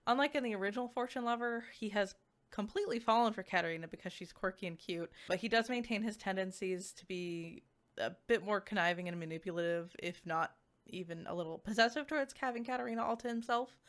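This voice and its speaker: Woman doing a casual review, animated n chatty, medium pitch, says like a lot. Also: fast talker. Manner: animated, conversational, frequent "like" asides. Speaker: visual novel reviewer. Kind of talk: casual review chat to viewers.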